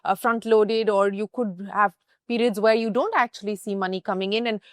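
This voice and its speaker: A woman's voice: mid-range voice, moderate pace, no room sound, studio quality. A woman hosting a business interview, probing and summarising answers back as questions. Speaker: interviewer, host.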